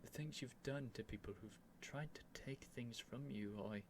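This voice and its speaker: A lovestruck young prince, acted by a man in an ASMR roleplay, speaking at a middle pitch. He is earnest and nervous, stammering with feeling as he addresses his beloved dragon. Some background noise sits behind the voice. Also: close to an everyday voice. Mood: tender, confessing. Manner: halting, stammering, breathy sighs and whispers, emotional.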